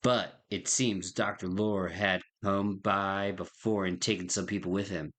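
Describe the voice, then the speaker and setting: A man on a game livestream, reading the story text aloud in a plain, slightly halting way. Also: deep voice, steady tone. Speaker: game streamer. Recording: loud game music behind.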